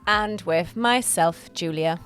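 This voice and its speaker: A woman's voice: on the low side, slow pace, a bit bright. A woman co-hosting a producer podcast, chatting casually in short, agreeing remarks. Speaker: podcast co-host and executive producer. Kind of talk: casual chat, project update.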